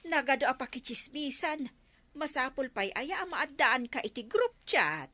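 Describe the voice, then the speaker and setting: A woman's voice: sits high, expressive, normal speed, sharp tone. A woman playing a blunt, thrifty village auntie in an Ilocano radio comedy drama, with an Ilocano accent. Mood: grumbling.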